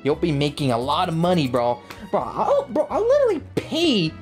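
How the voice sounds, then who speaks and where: A man's voice: on the high side, huge pitch swings, moderate pace. A young man doing casual, slangy, playful let's play commentary as a video game streamer. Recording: game music behind the voice.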